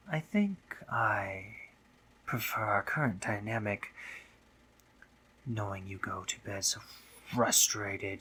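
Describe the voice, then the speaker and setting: A man playing a teasing, dominant, courtly spider monster in an intimate audio roleplay, theatrical and breathy, often dropping into whispers. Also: fairly low pitch, bright, forceful tone, heavily acted, poor audio. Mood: mocking, teasing. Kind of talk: intimate scripted audio roleplay, addressing the listener.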